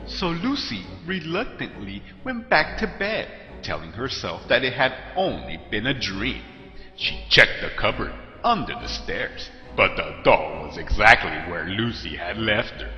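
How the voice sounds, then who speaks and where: A man's voice: lowish voice, sing-song, unhurried, lo-fi sound. A man narrating a scripted scary story in a dramatic, suspenseful storytelling style. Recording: echoey long reverb, music behind. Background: clear background music.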